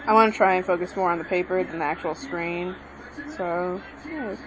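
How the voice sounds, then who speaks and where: A woman's voice: lowish voice, fairly even intonation, moderate pace, crowd noise. Young woman tutor, rambling and unsure, recorded on a muffled home mic. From Mexican background. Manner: rambling, self-correcting, hesitant. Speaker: language tutorial maker. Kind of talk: casual spoken lesson while writing. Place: home room with a TV on. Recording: lo-fi home recording with background noise.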